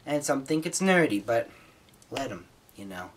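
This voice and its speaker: An American man giving an informal, explanatory hobbyist talk, somewhat halting, with repeated words as he explains. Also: mid-range voice, big pitch swings, fairly bright tone. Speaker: hobbyist presenter.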